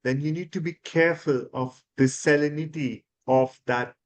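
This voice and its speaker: ENT doctor from India, higher-pitched man. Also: steady tone, moderate pace, lo-fi sound. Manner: explanatory, hesitant with fillers and restarts. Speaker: ENT surgeon guest. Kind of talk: expert interview answers.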